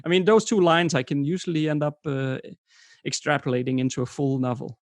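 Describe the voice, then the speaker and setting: A middle-aged man with a Danish accent, a guest author on a video call, hesitant and quick to laugh. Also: a little high, steady tone, dry recording. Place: home room, indoors. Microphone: headset.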